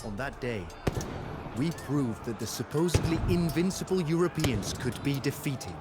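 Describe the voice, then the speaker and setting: A man's voice: medium pitch, animated, normal speed, lightly acted. Man acting solemn, intense war commander over music. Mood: solemn, reflective. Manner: solemn, theatrical storytelling, intense. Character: passionate Muisca war commander, grave and devoted lover. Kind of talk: dramatic scripted first-person game cutscene narration.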